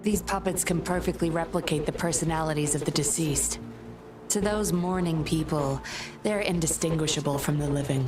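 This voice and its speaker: A woman voicing a composed, secretive undercover investigator in a video game, speaking in a measured, guarded way. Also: low-pitched, close to an everyday voice. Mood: explaining. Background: game music.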